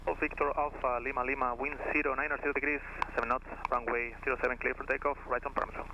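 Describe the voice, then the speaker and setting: Atc guy on radio, flat voice, talks really fast, static noise behind. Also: mid-range voice, lo-fi sound. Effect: aviation radio. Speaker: air traffic controller. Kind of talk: radio phraseology. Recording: noisy aviation radio transmission.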